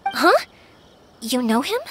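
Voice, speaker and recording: An actress voicing a cheerful, kind-hearted young woman in an anime-style video game, earnest and expressive. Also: sits high, takes it slowly, a little hiss or hum, exaggerated voice. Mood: hesitant. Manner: bright-spirited, earnest, expressive.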